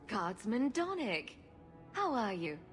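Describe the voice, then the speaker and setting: A woman's voice: on the high side, very animated, takes it slowly, soft background music. A woman playing a sarcastic, teasing and confident rogue adventurer in a video game cutscene, glib in her delivery. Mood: breezy.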